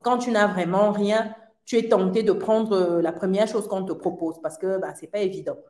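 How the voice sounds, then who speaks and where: A woman giving an earnest personal testimony in casual talk, often laughing lightly between phrases and filling pauses. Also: medium pitch, steady tone, darkish tone. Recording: roomy echo.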